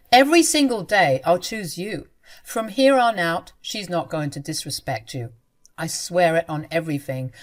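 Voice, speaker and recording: A woman voicing a young husband torn between his wife and his mother, speaking in a soft, pleading way. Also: fairly low pitch, natural voice.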